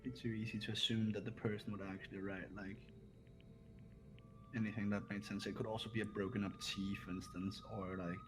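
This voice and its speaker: A man's voice: on the low side, level tone, fairly quick, a bit bright, faint music behind, low-quality recording. A man reasoning aloud cautiously in a casual group game discussion. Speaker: player in a group playthrough.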